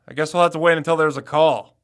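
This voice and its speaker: An actor playing a brash, suspicious young amateur detective in an audio drama, with pointed, accusatory questioning. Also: male voice, on the high side, lightly acted. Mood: suspicious, determined. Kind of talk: scripted audio drama acting.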